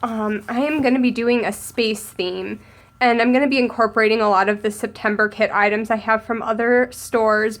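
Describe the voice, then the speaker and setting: A young woman with a cold, a little hoarse and sniffly, chatting casually as she narrates decorating her planner. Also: fairly high pitch, a bit bright. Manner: chatty, upbeat, thinking aloud while crafting. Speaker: planner vlogger.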